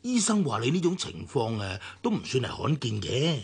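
A man's voice: medium pitch, big pitch swings, normal speed, breathy edge, exaggerated voice. A man acting an anxious, placating company deputy manager in a Cantonese radio drama from Hong Kong, stammering and soothing. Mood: concerned, soothing.

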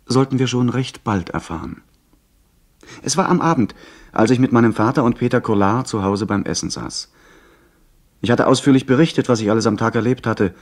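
Man acting as earnest adventure narrator in a radio play, fairly low voice, telling it as his own story. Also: darkish tone, lightly acted. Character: young gentleman recounting his adventure, earnest. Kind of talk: scripted first-person radio play narration.

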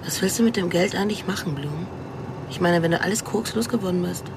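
A woman's voice: on the low side, fast talker, creaky, background noise. An actress playing a streetwise young girlfriend of a crook in a radio crime drama, direct and probing. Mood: coaxing, probing.

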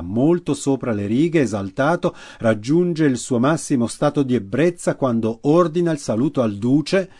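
A man with an Italian accent giving a measured, dramatic historical narration for radio theatre, slowing and dropping his voice for emphasis. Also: medium pitch, a little mellow. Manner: measured, slows and drops for emphasis. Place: radio studio.

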